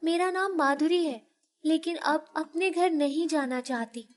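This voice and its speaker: A woman's voice: sits high, unhurried, long reverb tail, voice pushed up. A woman voicing a plaintive, distressed young village woman in a storybook tale. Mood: sad, resolute.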